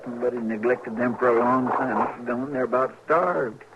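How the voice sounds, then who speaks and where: A man's voice: medium pitch, fairly even intonation, brisk, natural voice. A man with a rural American accent playing a simple, kindhearted marshal's sidekick in an old-time radio drama, plain-spoken, drawling and deferential. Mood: pitying, concerned. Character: folksy, simple, kindhearted marshal's helper in a western. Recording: muffled, noisy vintage radio recording.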